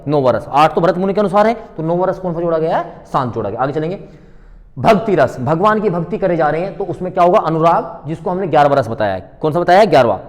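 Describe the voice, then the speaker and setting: A man's voice: fairly high pitch, animated, fast. A man teaching an exam revision lesson, asking questions and answering them himself, repeating key points didactically. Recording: long hall-like reverb on voice.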